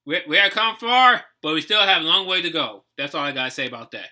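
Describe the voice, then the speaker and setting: High-pitched American man, halting and emphatic. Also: very animated, pushed and bright. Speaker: reaction video host. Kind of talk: unscripted reaction commentary, casual chat.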